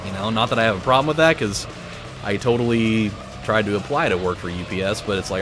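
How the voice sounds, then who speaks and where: A man hosting a gaming video, telling stories in a casual, animated way with swearing. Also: lowish voice. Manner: animated storytelling with profanity. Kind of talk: casual chat over a racing game. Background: loud game music and car engines.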